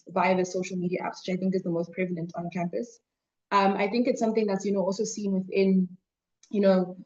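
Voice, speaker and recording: A woman's voice: medium pitch, a little mellow, dull audio. A young woman student, speaking on a panel in a hesitant way with many fillers. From Zimbabwe, raised in South Africa. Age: young adult. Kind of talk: panel remarks.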